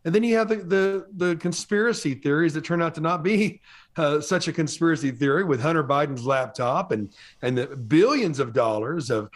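Quick, animated middle-aged male host. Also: fairly high pitch. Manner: animated, quick, stumbling over words. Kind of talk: interview. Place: home studio room. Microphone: studio mic on a boom.

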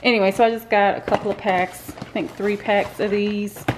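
A woman's voice: mid-range voice, flat delivery, a little fast, background noise. A woman, rambling casually through a grocery haul in her kitchen, with plenty of fillers and restarts. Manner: chatty and rambling, with many fillers and self-corrections. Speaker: vlogger. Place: home kitchen, indoors. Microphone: camera pointed at the counter, speaker off-screen.

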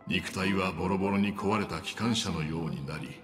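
A male actor as a gentle old wanderer from a game, with a low voice and polite, reflective phrasing. The voice rings with long reverb, and music plays clearly behind it. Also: close to an everyday voice. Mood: wistful. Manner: polite, measured, reflective speech. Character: gentle, polite old male trailblazer looking back on life. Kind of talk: Japanese game voice acting.

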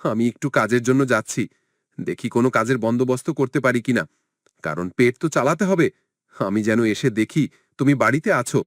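A man's voice: mid-range voice, brisk, no room sound, studio quality, lightly acted. A man playing a fearful, poor village fisherman and father in a cartoon, lamenting and scolding with fatalism.

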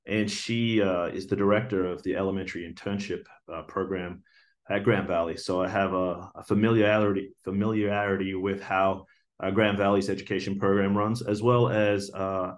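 A man in his forties with an Australian accent, earnestly introducing himself for a teaching job, with many fillers and restarts, recorded on a webcam indoors. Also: sits low. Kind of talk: video job application talk. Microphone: webcam video recording.

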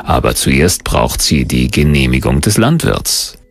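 A man's voice: rumbling low, sing-song, measured pace. A man reading scripted documentary narration as the narrator. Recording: music under the narration. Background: background music.

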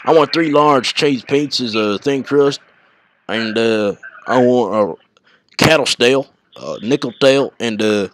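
A man with a country American accent talks in a medium-pitched voice, rambling and slangy like someone making a casual prank call. The home computer recording carries faint noise and clicks. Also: a little slow. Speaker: prank caller and video maker.

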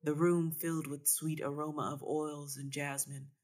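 A woman's voice: low voice, level tone, moderate pace, darkish tone. A woman reading first-person literary fiction as a dramatic narration. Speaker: story reader.

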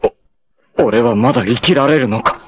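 A man voicing a proud, grim, long-haired male martial artist in a 1980s anime, speaking intensely and often bursting into shouts. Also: medium pitch, normal speed, heavy background noise, close to an everyday voice. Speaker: voice actor.

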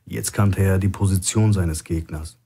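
A man's voice: low voice, steady tone, normal speed, vocal fry, warm tone, breathy edge. A man reading a scripted thriller as an audiobook narrator.

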